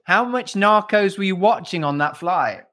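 A man's voice: high voice, animated, normal speed, a bit bright. A man hosting a travel podcast, interviewing a guest casually and teasing him with easy laughter. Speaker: podcast host.

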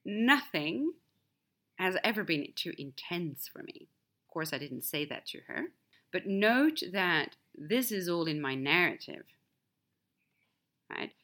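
A woman's voice: fairly low pitch, very animated, slow pace, pauses often, fairly bright tone. A woman whose first language is Swedish hosts a podcast, telling a personal story in a candid, reflective solo monologue. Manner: candid, storytelling, wide swings in emphasis. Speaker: podcast host.

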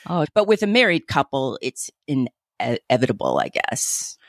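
A woman's voice: a bass voice, sing-song, normal speed, no room sound, studio quality. A woman hosting a podcast, chatting casually with her co-host and laughing easily.

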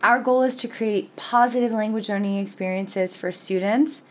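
A woman hosts an education podcast, putting questions to a guest. She is articulate and affirming, with a mid-pitched voice. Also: moderate pace, a little hiss or hum. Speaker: podcast host and language teacher. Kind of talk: podcast interview.

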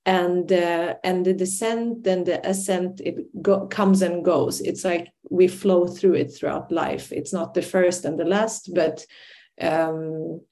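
A woman's voice: fairly low pitch, steady tone, slow, vocal fry, dark tone. A young adult woman from Sweden, a podcast guest, talking reflectively with many fillers and restarts. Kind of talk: reflective interview talk.

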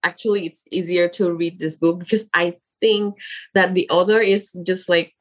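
A young woman whose first language is Indonesian, speaking English in a casual interview as the guest and often pausing to search for words. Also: lowish voice, fairly even intonation. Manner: halting, searching for words with many fillers.

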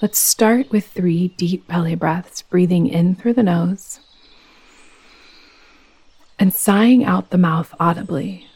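A woman leading a guided meditation as a yoga nidra teacher, speaking in a soothing, gentle, unhurried manner. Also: on the low side, breathy edge.

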